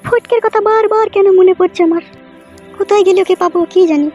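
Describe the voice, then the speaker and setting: A woman's voice: very high voice, fast, darkish tone. A woman voicing scheming, anxious village women in a Bengali comedy cartoon, with colloquial, insistent rural speech. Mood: yearning. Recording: music behind the voice.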